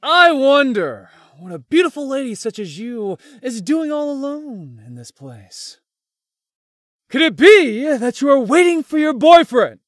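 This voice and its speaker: An actor voicing a devoted, earnest young man in an anime-style romance game, speaking haltingly. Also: very high voice, very animated, fairly bright tone, no echo, clean studio sound, exaggerated voice. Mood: playful. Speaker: voice actor in a visual novel.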